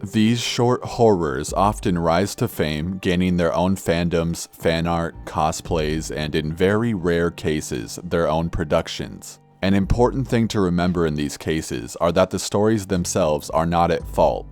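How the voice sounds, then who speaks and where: A man reading a scripted true-crime narration in a measured, somber manner. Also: low-pitched, a little mellow. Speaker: narrator of horror videos. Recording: background music under narration. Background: music.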